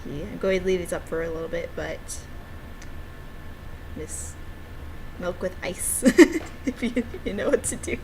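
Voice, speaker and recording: A woman's voice: fairly low pitch, lively intonation, unhurried, pauses often, darkish tone, a little hiss or hum, poor audio. A woman giving casual, playful and giggly commentary as she plays a game she made herself. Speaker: game developer playing her own game.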